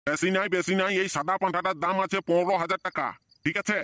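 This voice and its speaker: A man's voice: high voice, fairly even intonation, fast talker, bright, forceful tone, dry recording, studio quality. A man voicing a pushy old goat seller in a comedy cartoon, with a Purulia Bengali accent and repetitive hawker's patter. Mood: hawking.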